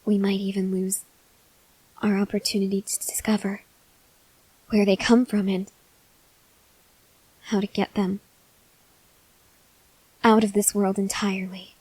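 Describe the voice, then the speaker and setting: A woman playing a weak, starving female vampire researcher in an ASMR roleplay, speaking softly and haltingly, often dropping to a whisper. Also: medium pitch, little pitch movement, breathy edge, dry recording, poor audio. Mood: weary, desperate. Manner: slow, halting delivery with long pauses. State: weak, faint with hunger (acted). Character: starving female vampire researcher, weary, earnest, gently pleading. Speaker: voice actor. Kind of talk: ASMR roleplay, acted monologue to listener.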